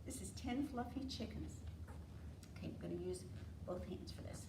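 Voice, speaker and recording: An American woman leading an interactive storytime for preschoolers, asking simple questions and drawing out key words in an animated way. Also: medium pitch, moderate pace, vocal fry. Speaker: children's storytime librarian. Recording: roomy, distant mic, faint room noise.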